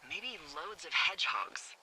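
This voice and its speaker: A woman's voice: on the low side, animated, measured pace, a loud crowd, rough recording. A woman playing a wry, teasing American fire lookout in a naturalistic video game, chatty and sardonic, heard over a two-way radio. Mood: mock serious.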